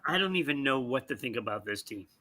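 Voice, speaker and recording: A man co-hosting a live sports talk show, giving opinions in a deliberate way with pauses mid-sentence. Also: medium pitch, expressive, sharp tone.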